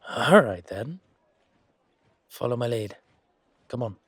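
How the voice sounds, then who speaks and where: A man's voice: medium pitch, animated, slow pace, breathy edge, no echo, clean studio sound, exaggerated voice. A man acting a humble, weary knight with a wry, casual, hesitant manner in an amateur fantasy audio roleplay. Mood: planning, conspiratorial.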